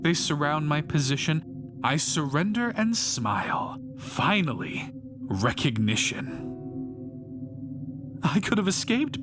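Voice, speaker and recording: A fanatical killer acted by a man narrates in slow, deliberate verse with grandiose zeal. His delivery is theatrical, like a dark audiobook monologue, and music plays clearly behind him. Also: fairly high pitch. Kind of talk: dramatic rhyming poetry audiobook narration.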